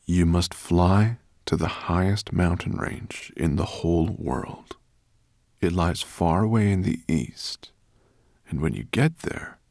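A man voicing a forgetful old male dragon in a breathy murmur, slow and halting, as in a storybook reading. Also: deep voice, no room sound, clean studio sound, full character voice.

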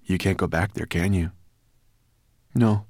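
A man's voice: deep voice, steady tone, unhurried, vocal fry, a little mellow, dry recording, clean studio sound, full character voice. A man voicing a shy, friendly boy in a storybook, polite and eager.

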